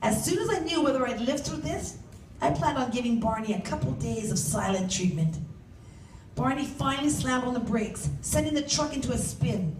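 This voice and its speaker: Female author reading own novel, higher-pitched, animated storyteller, recorded at a distance in an echoey room. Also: moderate pace. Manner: animated, acts out shouted dialogue. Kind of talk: dramatic first-person story reading. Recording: roomy, distant mic, faint background noise.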